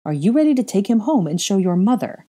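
A woman playing a protective, quick-tempered young mother in an audiobook, speaking earnestly and insistently. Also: medium pitch, animated, mellow, breathy edge. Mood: furious. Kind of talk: acted audiobook dialogue.

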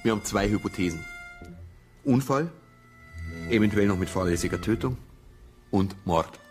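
An actor playing a dogged, suspicious police investigator in a radio crime drama, brooding and colloquial with a Bavarian accent. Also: male voice, on the low side, moderate pace, a little mellow, lightly acted. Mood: deliberating. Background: background music.

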